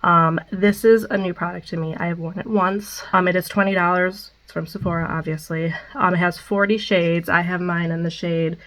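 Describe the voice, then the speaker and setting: A woman's voice: on the low side, steady tone, normal speed, hardly any pauses, fairly bright tone, low-quality recording. A young woman with a Midwestern American accent and a stuffed-up nose, chatting casually through a makeup wear-test vlog with many ums. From Midwestern United States. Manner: chatty, many ums, reads product details. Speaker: beauty vlogger.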